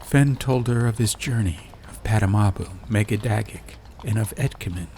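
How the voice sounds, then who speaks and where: A man narrating a scripted audiobook in a measured, dramatic storytelling manner. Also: lowish voice, dark tone, slight background noise. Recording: ambient sound effects behind. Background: faint fantasy ambience.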